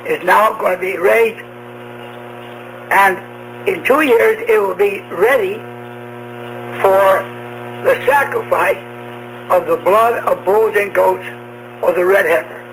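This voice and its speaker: A man with a high-pitched voice preaches about prophecy on the radio. His delivery is deliberate and emphatic, often doubling back on a phrase. The recording is a noisy shortwave broadcast with an audible hum. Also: a bit bright. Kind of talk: apocalyptic prophecy sermon.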